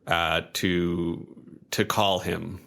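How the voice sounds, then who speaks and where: A man's voice: sits low, flat delivery, very slow, gravelly, bright, forceful tone. A man telling improvised story as a tabletop game player, often repeating and restarting his phrases.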